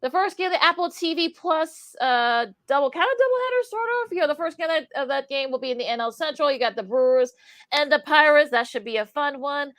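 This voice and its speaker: A woman co-hosts a sports radio show into a studio mic, her voice a little high. She talks things through as she goes, leaning on fillers and trailing off before she picks the thread back up. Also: animated, fast talker, fairly bright tone. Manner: hesitant, many fillers, thinks aloud. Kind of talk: casual sports radio chat.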